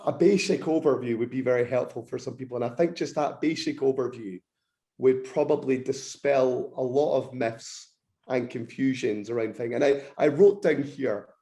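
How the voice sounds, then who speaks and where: A man with a croaky, slightly hoarse throat, explaining research as a podcast guest, eager and technical. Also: medium pitch, moderate pace, mellow.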